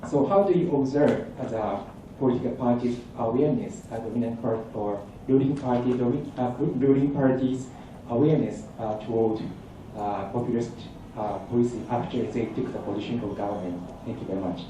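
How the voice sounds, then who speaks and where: A man's voice: mid-range voice, fairly quick, few pauses, warm tone. A man from Japan, a student asking the presenter a question carefully, repeating himself as he searches for words. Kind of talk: audience question at a conference. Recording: echoey hall, distant dull mic, noisy.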